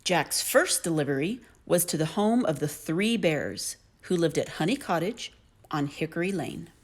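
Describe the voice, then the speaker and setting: Female children's storyteller: lower-pitched, expressive, highly varied intonation. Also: moderate pace.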